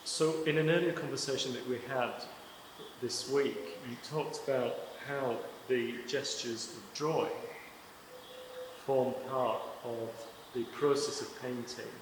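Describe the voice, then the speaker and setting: A man's voice: mid-range voice, fairly even intonation. Man asking questions in an echoey gallery. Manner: measured, searching questions. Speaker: interviewer. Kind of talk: public conversation at an exhibition. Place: exhibition project space. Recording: echoey room with long reverb, clear noise.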